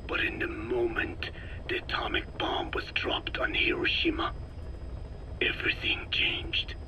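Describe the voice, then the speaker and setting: An actor playing a solemn, elderly Navajo scientist in a video game, speaking in a breathy whispery rasp with halting, measured phrases. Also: male voice, sharp tone, low-quality recording. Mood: sorrowful, proud. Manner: slow, measured, halting delivery in short phrases. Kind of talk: dramatic video game dialogue.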